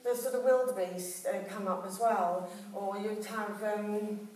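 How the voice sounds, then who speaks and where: A woman's voice: mid-range voice, fairly even intonation, measured pace, a little mellow. A woman giving a flower arranging demonstration, rambling and chatty with many ums and restarts, as if telling a room of listeners. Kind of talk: live floristry demonstration with chatty asides. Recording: echoey hall, recorded from a distance.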